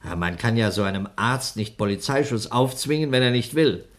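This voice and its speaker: A weary police inspector, played by a man, stern and a little put-upon. He lectures in measured, admonishing tones with a middle-range voice. The acting has an old-fashioned radio-play manner. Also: mid-range voice, lively intonation, lightly acted. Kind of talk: 1960s German radio drama acting.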